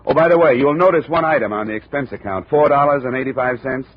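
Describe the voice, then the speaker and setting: A man's voice: medium pitch, fast talker, husky. A man as a hardboiled insurance investigator, narrating and acting in a 1950s radio drama with a dry, clipped delivery. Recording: tinny old radio recording.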